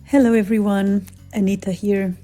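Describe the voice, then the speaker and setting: A woman from Portugal, hosting a podcast interview over a remote call, friendly and quick to laugh. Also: mid-range voice, flat delivery, faint music behind, low-quality recording. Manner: friendly, laughs often, warm welcomes. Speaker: podcast host. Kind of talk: scripted intro then casual interview.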